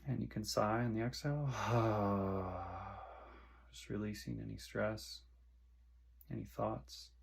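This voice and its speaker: A man with a fairly low, gravelly voice leads a guided meditation. His delivery is flat and unhurried, broken into short soothing phrases with long pauses between them.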